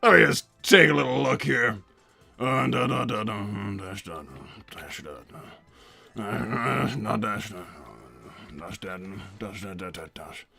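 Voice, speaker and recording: A man playing a pompous, irritable cartoon villain scientist and doting father, raspy and theatrical, bantering in character on a livestream. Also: on the low side, lively intonation, takes it slowly, sharp tone, faint music behind, exaggerated voice. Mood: grandly chatty. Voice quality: raspy, gravelly. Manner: theatrical, blustering, stammers when flustered. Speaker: livestream host reading donations.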